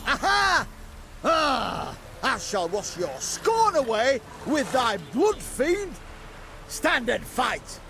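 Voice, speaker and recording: An actor playing a pompous, foolhardy, lovestruck knight in a video game, bombastic and theatrical with archaic phrasing. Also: male voice, very high-pitched, big pitch swings, very slow, vocal fry, bright, forceful tone, slight background noise, exaggerated voice. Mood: bombastic, challenging. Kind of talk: comic video game acting.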